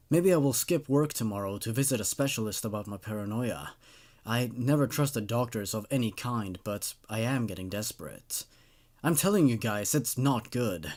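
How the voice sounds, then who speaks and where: A man's voice: medium pitch, darkish tone, close to an everyday voice. A man narrating a first-person horror story as a sleepless, paranoid person confessing a creeping dread.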